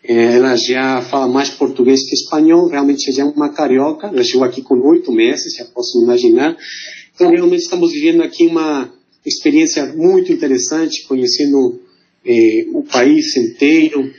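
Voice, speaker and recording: A man's voice: medium pitch, moderate pace. A middle-aged man from Mexico City with a Mexican Spanish accent, speaking formally as a guest on a podcast, with filler sounds between phrases. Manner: formal, steady, many fillers. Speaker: guest, consular diplomat. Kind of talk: podcast interview. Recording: muffled lo-fi audio.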